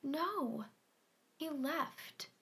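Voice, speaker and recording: A woman's voice: high voice, animated, extremely slow, vocal fry, fairly bright tone, exaggerated voice. A woman playing an earnest, timid but persistent young client, speaking plainly and probingly in a naturalistic audio play. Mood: insistent, earnest. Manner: plain, probing short questions and statements.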